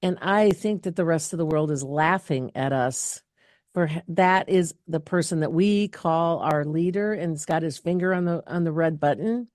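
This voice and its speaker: A middle-aged woman answers viewers' questions in a loose, chatty way, often stopping, restarting and filling gaps with "um". Her voice sits fairly low and sounds mellow and warm. The sound is close and dry. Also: moderate pace, dry recording. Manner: chatty, rambling with many fillers and restarts. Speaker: health clinic host answering viewer questions. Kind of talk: casual live Q&A.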